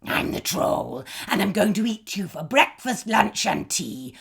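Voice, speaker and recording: A woman voicing a hungry bullying male troll, slow and booming, in a storybook reading. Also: sing-song, gravelly, husky, exaggerated voice. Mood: menacing.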